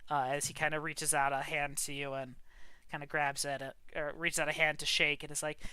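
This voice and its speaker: Game master, mid-pitched and forceful, improvised casual narration, close dry sound. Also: level tone, brisk, clear, resonant tone, no echo.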